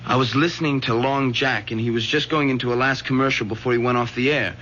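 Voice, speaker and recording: An actor playing an anxious, earnest teenage son who speaks hesitantly, in a vintage radio drama. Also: male voice, mid-range voice, steady tone, quick pace, fairly bright tone, lightly acted. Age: teens. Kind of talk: 1970s radio drama acting. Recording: dull vintage radio recording with faint hum.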